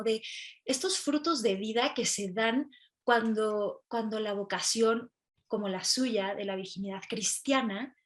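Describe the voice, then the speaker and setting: Higher-voiced woman asking questions. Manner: warm, halting, many fillers. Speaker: podcast co-host. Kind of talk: casual interview questions.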